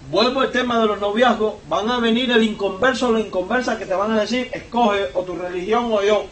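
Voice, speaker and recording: High-voiced Cuban pastor in an echoey church. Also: male voice, fairly bright tone. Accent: Cuban Spanish. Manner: emphatic preaching, climbs in pitch and volume on key points. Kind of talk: sermon. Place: church sanctuary. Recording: echoey church hall, voice from far off.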